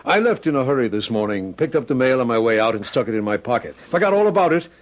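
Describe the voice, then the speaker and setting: Male actor for an urgent, protective companion: very expressive, dulled old radio-play sound. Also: medium pitch, fast talker, natural voice. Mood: urgent, explanatory. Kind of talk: old-time radio drama acting. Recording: muffled old radio recording.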